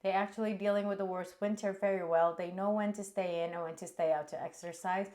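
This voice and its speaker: A woman's voice: medium pitch, fast. Giggly woman in casual chat. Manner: relaxed, giggly, reads out chat messages. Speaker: co-host of a cooking stream.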